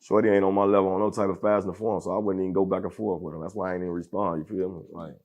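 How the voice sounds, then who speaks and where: A man with a deep, gravelly voice talks fast and aggressively, in heavy street slang. He sits as an interview guest in casual conversation. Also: little pitch movement, darkish tone, highs cut off.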